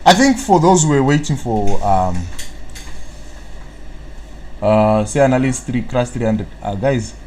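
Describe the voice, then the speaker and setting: A man's voice: mid-range voice, animated, unhurried, long pauses, a little mellow, a little hiss or hum. A man from Zimbabwe with a Zimbabwean accent, hosting a live trading analysis stream, rambling and tagging sentences with "what, what" while he answers viewers' chat. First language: Shona. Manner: rambling, fills gaps with "what, what".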